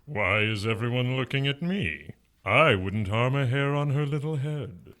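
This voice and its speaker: A man's voice: medium pitch, expressive, a little slow, a bit bright. A sly male fallen angel speaks in an audio drama. His mid-range voice is cool, detached and sardonic.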